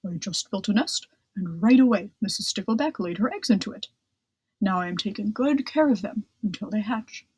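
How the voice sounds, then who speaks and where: A woman's voice: medium pitch, expressive, normal speed, darkish tone, slightly rough, no room sound, poor audio. A woman voicing proud, contented father fish in a children's storybook, pleased to be minding their young.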